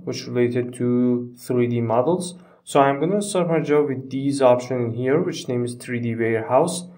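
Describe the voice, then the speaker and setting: A man narrating a step-by-step software tutorial in a halting, phrase-by-phrase way with non-native phrasing, recorded on a distant microphone. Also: medium pitch.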